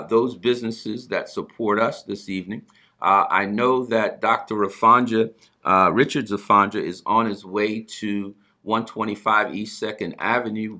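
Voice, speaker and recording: A man hosting an informal broadcast, speaking in halting, deliberate phrases as he plugs sponsors and talks with a guest. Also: fairly low pitch, sharp tone. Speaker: broadcast host.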